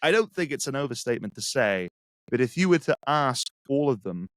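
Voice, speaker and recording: Guy with an english accent, thinks out loud, lots of restarts, dead dry audio. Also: medium pitch, very animated, dry recording. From England. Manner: reflective, halting, many restarts. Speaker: guest. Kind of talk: casual conversation.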